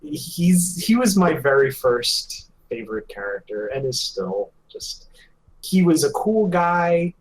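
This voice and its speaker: A man's voice: on the high side, sing-song, slow pace, poor audio. A man co-hosting a podcast in casual group chat, joking and teasing. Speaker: podcast co-host.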